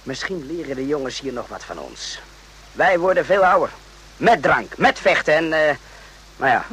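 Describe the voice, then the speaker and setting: A man's voice: on the high side, sing-song, moderate pace, fairly bright tone, breathy edge, heavily acted, rough recording. A man playing a brash army sergeant in a radio drama, talking bluntly and colloquially. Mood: musing. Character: brash, excitable army sergeant on night watch.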